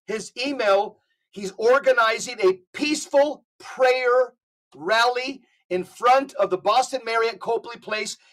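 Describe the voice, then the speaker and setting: A man speaks as a podcast guest, firm and preachy. He stresses his points and slows right down when he spells out details. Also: high-pitched, fairly bright tone. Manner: preachy and emphatic, spells things out slowly. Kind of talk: podcast conversation.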